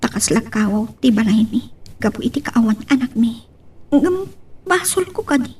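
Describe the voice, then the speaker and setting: High-pitched, sorrowful Ilocano wife played by a woman. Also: expressive, normal speed, darkish tone, husky, distant mic. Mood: sad, hurt. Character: sorrowful, worried wife pressed over having no child. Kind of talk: Ilocano radio-style love drama acting.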